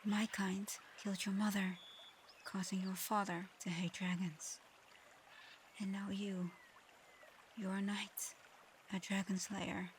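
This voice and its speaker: A woman voicing a proud but conflicted dragon girl in human form for an ASMR audio roleplay, soft-spoken, hesitant and earnest. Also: mid-range voice, level tone, slow pace, long pauses, slight background noise, lightly acted. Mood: solemn, conflicted.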